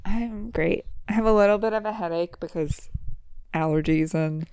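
A woman co-hosting a casual podcast, laid-back and quick to laugh. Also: fairly low pitch, darkish tone, clear, resonant tone, dry recording, clean studio sound. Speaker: podcast co-host. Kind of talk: casual chat.

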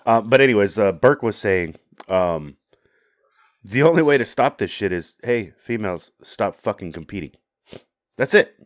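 A man's voice: lowish voice, animated, moderate pace, dry recording, studio quality. A man co-hosting a podcast who talks casually, swears, and mostly agrees, with a stuffed-up nose. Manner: laid-back, profane, agreeing.

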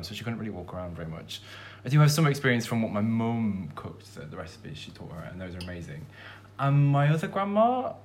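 A man's voice: fairly low pitch, fairly bright tone. A man from England with a Hull accent, co-hosting a casual podcast, rambling and self-deprecating, teasing his partner. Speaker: podcast co-host. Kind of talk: casual chat.

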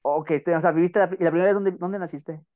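A man's voice: high voice, steady tone, fast, dull audio. A man with a Mexican Spanish accent speaking informally and chattily as a podcast host and interviewer. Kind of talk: casual podcast talk and interview.

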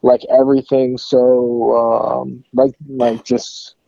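A man's voice: medium pitch, flat delivery, takes it slowly, vocal fry, warm tone, dry recording, dull audio. A young man in his early twenties from Detroit, a rapper answering interview questions in an easygoing way, hesitating often with fillers and slang. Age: 20s. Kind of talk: casual phone interview chat.

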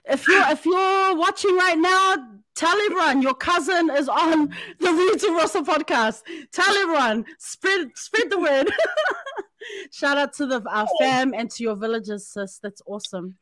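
A woman's voice: high voice, lively intonation, unhurried, sharp tone, no echo, poor audio. A woman hosting a podcast interview, upbeat and chatty, laughing often and using casual slang. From Samoan community in New Zealand. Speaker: podcast host. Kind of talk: warm interview chat.

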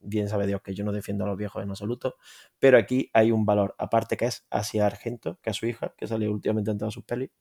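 A man with a Spanish accent co-hosts a film podcast in a casual, crude way, sarcastic and full of swearing. His voice sits low, with a gravelly, fried edge. His tone is dark and mellow. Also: little pitch movement, quick pace. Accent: Spanish from Spain. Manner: sarcastic, profane, rambling rants. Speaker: podcast co-host.

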